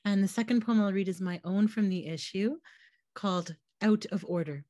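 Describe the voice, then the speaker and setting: A Canadian woman, a poet, giving a poetry reading on a video call, her voice slightly hoarse, quick in her intros and measured as she reads. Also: mid-range voice, mellow, no room sound, studio quality. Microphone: computer mic on a video call.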